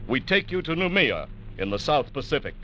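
A man's voice: fairly high pitch, big pitch swings, fairly quick, bright, forceful tone, soft background music. A man announcing an old radio drama in a formal, solemn and suspenseful scripted style. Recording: dull old radio recording, faint hiss.

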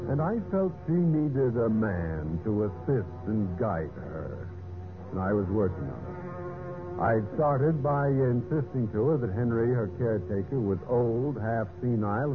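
An actor playing a vain, scheming general manager in a golden-age radio drama, with a smug, boastful, smooth way of talking. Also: male voice, mid-range voice, very animated, measured pace, phone-quality sound. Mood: smug narration. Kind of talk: 1940s-50s radio drama acting, narration and dialogue. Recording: band-limited vintage radio, music behind.

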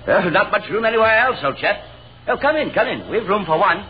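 Blustery, friendly officer, very animated delivery, muffled 1930s radio drama recording. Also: male voice, high voice, brisk, a little hiss or hum. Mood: welcoming, jovial. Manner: breezy, blustery officer slang. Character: hearty, slangy, good-natured Australian army lieutenant.

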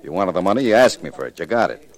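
An actor playing a cold, threatening loan shark in a curt, intimidating manner, in 1940s radio crime drama style. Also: male voice, low-pitched, very animated, racing. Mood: hard, demanding.

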